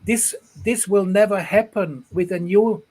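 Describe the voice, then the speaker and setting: A man's voice: high voice, fairly even intonation, a little slow, a little mellow, breathy edge. A man who grew up in Ghana, speaking as a guest on an online panel over a video call, earnest and often repeating and restarting his phrases. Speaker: guest, rail project executive.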